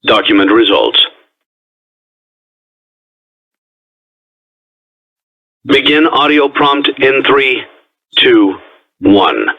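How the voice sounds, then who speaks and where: An actor playing a calm, ominous businessman in a video game, reading formal, measured instructions through an old tape filter. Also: mid-range voice. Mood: flat, procedural. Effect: old cassette tape, radio-like filter. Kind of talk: scripted instructional tape narration. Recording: tinny, phone-like old tape recording.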